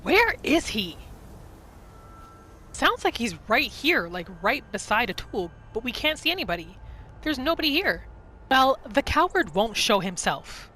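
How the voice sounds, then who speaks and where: A woman's voice: a little high, sing-song, a little slow, sharp tone, a little hiss or hum. A woman narrating her video game roleplay in the third person, telling the story of her character's thoughts as she plays. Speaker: gaming roleplay host. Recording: music behind the voice. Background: game music.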